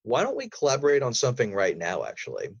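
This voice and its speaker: A man's voice: medium pitch, wildly swooping pitch, fast, creaky, breathy edge. A man speaking as a podcast guest, giving advice in casual, emphatic, rambling explanations. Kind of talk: casual interview chat with advice. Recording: roomy, slightly dull audio.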